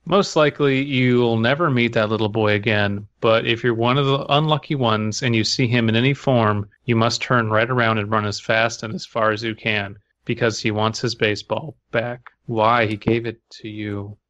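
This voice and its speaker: A man's voice: mid-range voice, steady tone, normal speed, few pauses, fairly bright tone, no room sound, muffled recording. A man co-hosting a podcast, chatting casually and reading aloud with a sardonic edge. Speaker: podcast co-host.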